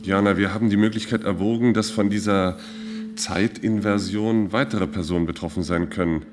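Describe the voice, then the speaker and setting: Actor as skeptical space mission boss, lowish male voice, terse, impatient, radio play. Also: steady tone, normal speed, natural voice. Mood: grave. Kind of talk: German radio drama acting.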